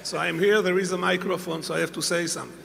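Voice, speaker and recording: Man, non-native English, halting, wry, higher pitched, echoey hall. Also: steady tone, gravelly, a bit bright. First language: not English. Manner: halting, wry, many fillers. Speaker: conference judge. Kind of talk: panel feedback. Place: conference hall. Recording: long hall reverb, faint hum.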